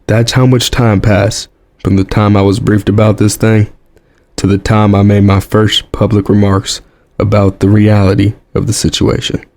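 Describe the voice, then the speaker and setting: An actor playing a weary, guilt-ridden American president in an audio drama, grave and resolute, with an American accent. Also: male voice, fairly low pitch, flat delivery, moderate pace, creaky, darkish tone. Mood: regretful, bitter.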